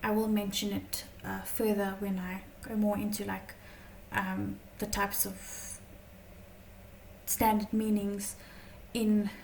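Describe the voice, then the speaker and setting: A woman teaching a tarot lesson in a chatty, casual way, her voice slightly croaky from a long day of talking, often checking in with "okay?". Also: mid-range voice, flat delivery, slow, a little mellow, recorded from a distance, slight background noise, low-quality recording. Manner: chatty, many fillers and "okay?" check-ins.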